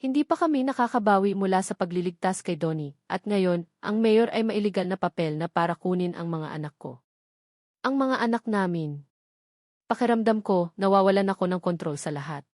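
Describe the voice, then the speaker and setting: A woman's voice: mid-range voice, expressive, a little fast, a little mellow, no echo. Woman, Filipino accent, story narrator, dramatic scripted first-person delivery, dry recording.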